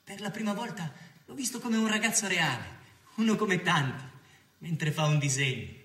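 An actor playing a sober, dogged newspaper journalist, narrating in measured, clipped phrases as theatre. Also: male voice, high-pitched, lively intonation. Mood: reflective. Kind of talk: theatrical first-person narration in present tense. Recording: roomy stage sound with loud music. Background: music.

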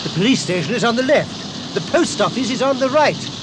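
A man's voice: very high voice, expressive. A man playing a fussy, easily exasperated householder in a scripted English-lesson sketch, speaking with emphatic, careful enunciation. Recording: noisy old tape recording.

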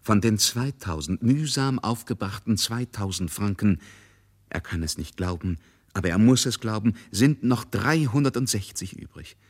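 Low, husky male narrator. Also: animated, normal speed. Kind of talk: dramatic literary narration in a radio play.

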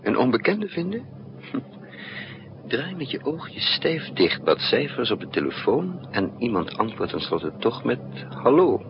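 A man's voice: medium pitch, very animated, a little slow. An actor playing a resourceful male spy in a 1960s Dutch radio drama, thinking aloud in hesitant, broken phrases. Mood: intent, puzzling. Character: resourceful male spy narrating his own risky mission. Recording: muffled, noisy 1960s radio recording.

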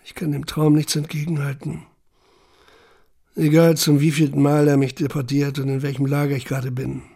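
Gravelly, brooding camp survivor. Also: male voice, fairly high pitch, level tone, normal speed, warm tone. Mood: brooding, questioning. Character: haunted male camp survivor, brooding, wounded, self-questioning. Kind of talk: literary first-person monologue in audio drama.

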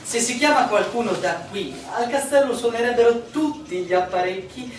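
A woman's voice: on the high side, normal speed, a little hiss or hum, lightly acted. A heavily echoing, distant recording of an actress as a mayor's wife in a radio play. She is fussy, formal and patronizing. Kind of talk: stage-style radio drama acting. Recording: very echoey room, far from mic.

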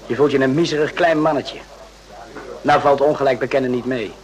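An actor playing a gentle, remorseful army subordinate in a radio play, speaking carefully and reflectively. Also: male voice, medium pitch, fairly even intonation, fairly quick, lightly acted, poor audio. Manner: careful, reflective, short repeated phrases.

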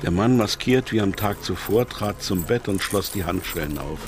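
An actor as the narrator of a German radio crime drama, reading scripted narration in a sober, tense way. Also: male voice, deep voice, lively intonation, moderate pace, music in the background.

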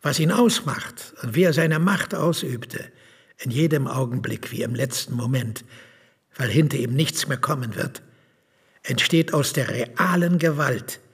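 An actor playing an opinionated playwright in a radio drama, with a very raspy, gravelly voice and deliberate, argumentative delivery. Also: male voice, medium pitch, animated, full character voice. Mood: insistent, reflective. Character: opinionated male playwright explaining his views.

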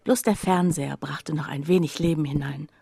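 A woman's voice: deep voice, fairly even intonation, fairly quick, creaky, warm tone, breathy edge, no echo, full character voice. A woman narrating a literary radio drama monologue, confiding and precise, as someone wryly recounting a poor friend's troubles.

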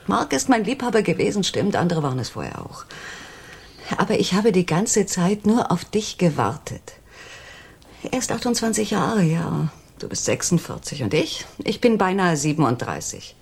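A woman's voice: on the low side, sing-song, moderate pace, gravelly, slightly rough, heavily acted. An actress playing a passionate, determined female accomplice and lover in her thirties in a German radio crime drama, intimate and intense. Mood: pleading, passionate.

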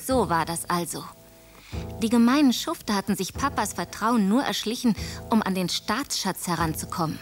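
A woman's voice: mid-range voice, expressive, moderate pace, close to an everyday voice. An actress playing a young noblewoman who narrates her own adventure in a scripted radio drama. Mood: suspenseful. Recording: music behind.

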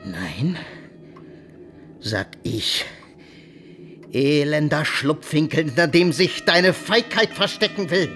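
A man playing a guilt-tormented villainous nobleman losing his mind in a German radio drama, feverish and erratic, moving between hushed trembling and frantic cries. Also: on the high side, animated, takes it slowly, long pauses, soft background music. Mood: defiant, fearful. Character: guilt-tormented nobleman villain, terrified, raving, descending into madness. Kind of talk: German radio drama acting, theatrical classical monologue.

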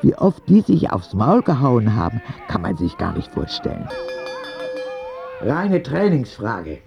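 A childlike, frank letter-writer in a radio drama. His narration is matter-of-fact but very animated in pitch, with plenty of creak. It sounds slightly muffled. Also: unhurried, music in the background, heavily acted. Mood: frank, content. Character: frank, childlike ten-year-old boy writing letters to God.

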